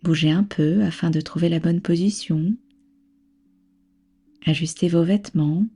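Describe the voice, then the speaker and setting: A woman with a soft, breathy voice leading a guided relaxation as a yoga nidra instructor, soothing, unhurried and gently instructing. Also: lowish voice, fairly even intonation. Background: background music.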